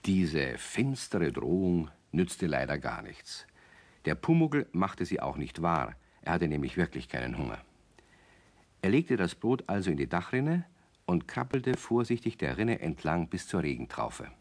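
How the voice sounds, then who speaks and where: A man narrating a children's radio play as an avuncular, gently wry storyteller who addresses his young listeners directly. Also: low-pitched, sing-song, unhurried, gravelly, exaggerated voice.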